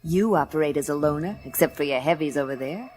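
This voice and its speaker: A woman's voice: low voice, fast, darkish tone, a little hiss or hum, natural voice. A woman playing a tough, sardonic female private eye in a noir radio drama, speaking in a dry, unimpressed, hardboiled way. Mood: skeptical, curt. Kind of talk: first-person noir radio drama narration and dialogue. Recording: music under the voice. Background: music.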